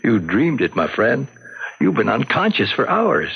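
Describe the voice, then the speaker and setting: An actor playing a hearty middle-aged war veteran and loyal buddy in a 1970s radio drama, bluff and joking with a gravelly, husky voice. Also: male voice, medium pitch, huge pitch swings, unhurried, heavily acted. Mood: reassuring. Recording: dull lo-fi old radio broadcast.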